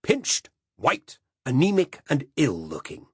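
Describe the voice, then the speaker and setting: A man's voice: lowish voice, very animated, moderate pace, slightly rough, no room sound, clean studio sound, voice pushed up. A man reading aloud voices a blunt, domineering older man who growls his opinions at the dinner table. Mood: gruff commanding. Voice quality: growling, commanding.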